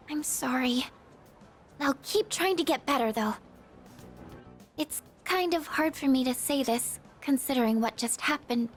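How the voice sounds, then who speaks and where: Really high shy teen girl game character, soft n stammery, insecure, bit of music behind. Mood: flustered, self-doubting. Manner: hesitant, stammering, soft-spoken and apologetic. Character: shy, gentle, insecure teenage girl student. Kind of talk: video game character dialogue voice acting.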